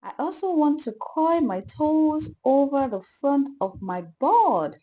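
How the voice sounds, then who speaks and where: A woman voicing an eager, anxious, boyish cartoon sea sponge in a storybook, earnest and excitable. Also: high-pitched, slow, pitched above the performer's own voice, exaggerated voice.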